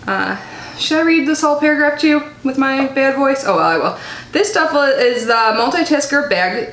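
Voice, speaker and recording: A woman's voice: on the high side, a bit bright. Chatty nasal young woman, echoey room. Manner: chatty and bubbly, lots of likes and ums. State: nasal from allergies. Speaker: unboxing vlogger. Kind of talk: casual unboxing chat.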